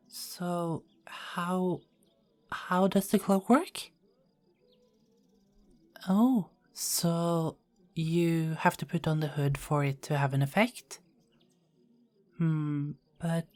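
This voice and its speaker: Woman playing a soft, unhurried young queen. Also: deep voice. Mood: curious. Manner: formal wording, soft and hesitant with small stammers. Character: young unmarried queen, caring, dutiful, yearning to escape. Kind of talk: ASMR roleplay, scripted character acting.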